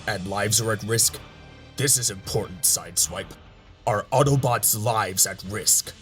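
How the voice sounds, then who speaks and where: An actor playing a hard-nosed, pragmatic robot officer with a clipped, insistent, bitter manner. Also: male voice, fairly low pitch, a little slow, over music, natural voice. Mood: tense, resolute.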